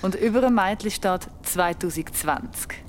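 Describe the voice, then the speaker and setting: A woman's voice: on the low side, normal speed, a little hiss or hum, close to an everyday voice. Woman acting a chatty young Swiss woman. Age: young adult. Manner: chatty, casual. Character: chatty, teasing bookish young woman among friends. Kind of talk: audio drama acting.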